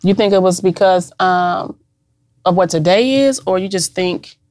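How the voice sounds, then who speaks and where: A woman's voice: on the low side, unhurried, no room sound, clean studio sound. A congested, nasal-sounding woman co-hosting a casual podcast, chatty with lots of fillers. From Cincinnati area. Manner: warm, chatty, hesitant with fillers. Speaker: podcast co-host.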